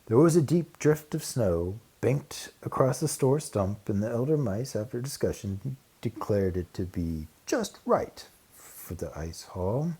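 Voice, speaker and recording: A man reading a children's storybook aloud in an expressive narrating style. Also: fairly low pitch, unhurried, slightly rough. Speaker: storybook reader.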